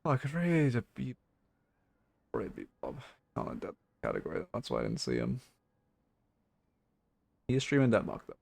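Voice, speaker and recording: A hoarse man giving blunt, rambling game coaching notes on a livestream, full of fillers and restarts. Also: mid-range voice, huge pitch swings, moderate pace, long pauses, a little mellow, no room sound. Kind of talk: casual livestream coaching talk.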